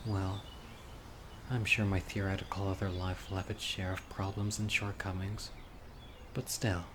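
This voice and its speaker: A man acting a critically ill, wistful and obsessive young man in an intimate, earnest audio roleplay monologue, musing softly to his date. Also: sits low, little pitch movement, a little slow. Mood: wistful, hopeful. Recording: clear outdoor insect and bird noise. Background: birdsong, crickets and insects of a lakeside.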